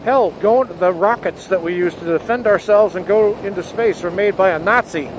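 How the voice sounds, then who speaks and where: A man's voice: high-pitched, normal speed. A man from the United States giving an earnest spoken essay while riding his motorcycle, speaking into a helmet headset mic. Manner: earnest, rising to patriotic fervor. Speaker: motovlogger. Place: on a motorcycle outdoors. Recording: wind noise on helmet mic.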